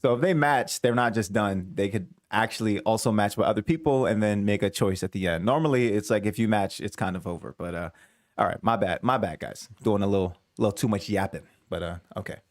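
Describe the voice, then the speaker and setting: A man's voice: lowish voice, a little fast, no echo, clean studio sound. A man commenting casually on a dating show video, rambling, correcting himself and chuckling at his own asides. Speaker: reaction video host.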